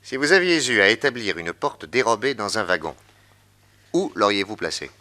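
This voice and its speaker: A shrewd, teasing detective. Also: male voice, sing-song, moderate pace. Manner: teasing, commanding, lowers voice to hushed tones. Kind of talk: vintage radio drama acting.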